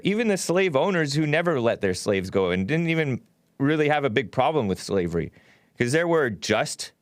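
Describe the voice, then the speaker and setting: A man's voice: a little high, no room sound, studio quality. A man hosting a call-in talk show, talking casually and laughing at his own remarks.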